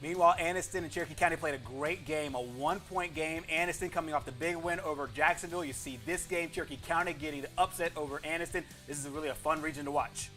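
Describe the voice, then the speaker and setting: A man hosting a sports show, reading high school football scores in an emphatic announcer style. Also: steady tone, fast, few pauses, bright, forceful tone, faint music behind.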